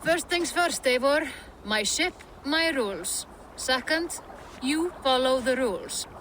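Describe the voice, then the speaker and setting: Bossy female Viking captain, high pitch, bright hard tone, clipped, commanding, game, slight boat noise. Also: lively intonation, takes it slowly, exaggerated voice, rough recording. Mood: firm. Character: bold, bossy Norse female ship captain. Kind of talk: video game voice acting.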